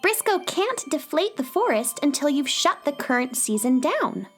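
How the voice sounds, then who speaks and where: Woman as a high, wildly expressive plucky girl adventurer. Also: normal speed, faint music behind. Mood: concerned. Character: plucky young space-adventurer girl, curious and helpful. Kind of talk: children's audio drama acting.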